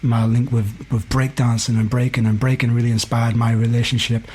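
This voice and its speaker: A man's voice: medium pitch, level tone, fairly quick, a little mellow, a little hiss or hum. A man in his forties with a Belfast accent talks casually and candidly, telling stories and often tagging phrases with "you know". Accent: Belfast Northern Irish. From West Belfast, Northern Ireland. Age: 40s. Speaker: podcast guest, hip-hop DJ. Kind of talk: casual conversational storytelling.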